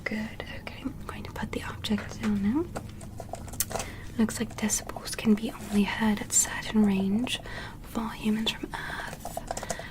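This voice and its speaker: Woman as a whispering, calm alien doctor. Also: medium pitch, unhurried, natural voice. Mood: calm, curious. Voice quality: breathy whisper. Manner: soothing, methodical, softly instructing. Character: calm, curious, clinical alien doctor examining a human. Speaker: ASMR performer. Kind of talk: whispered ASMR medical roleplay.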